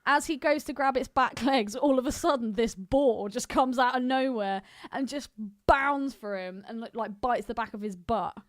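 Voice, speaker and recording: High-voiced, teasing woman bantering on a podcast. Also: moderate pace, few pauses, no echo, studio quality. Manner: sweary, teasing, giggly. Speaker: podcast co-host. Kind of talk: casual comic banter.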